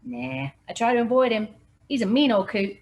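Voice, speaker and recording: A woman's voice: fairly high pitch, expressive, normal speed, darkish tone, highs cut off, pitched above the performer's own voice, natural voice. A woman reading aloud, voicing a blunt, scruffy local man who talks in an offhand way.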